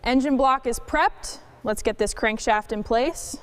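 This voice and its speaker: A woman with a somewhat high-pitched voice reads scripted narration. She presents mechanical restoration work in short, simple statements. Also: a little slow, a little hiss or hum.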